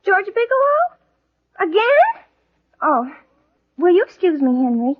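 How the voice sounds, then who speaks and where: Coy teenage girl, high voice, polite, well-mannered, 1940s radio comedy, muffled old recording. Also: very animated, a little slow, heavily acted. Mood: feigned surprise.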